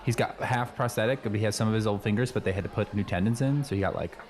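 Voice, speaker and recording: Casting: adult man as talkative, earnest high-school kid, fairly deep voice, loose casual improv comedy delivery. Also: quick pace, darkish tone, natural voice. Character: chatty, nosy, friendly teenage schoolboy showing newcomer around. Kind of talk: improvised comedy dialogue, naturalistic casual chat.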